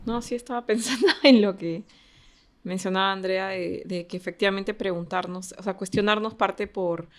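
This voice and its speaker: A woman's voice: mid-range voice, steady tone, normal speed. A Peruvian woman in a casual podcast discussion, telling personal anecdotes and voicing remembered bits of dialogue. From Peru. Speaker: podcast guest.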